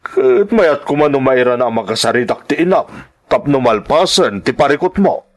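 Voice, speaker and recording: A man playing a sympathetic but frank middle-aged uncle in a dramatic audio serial, talking in an animated, advising way. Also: medium pitch, moderate pace, natural voice. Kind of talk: dramatic radio-style serial acting.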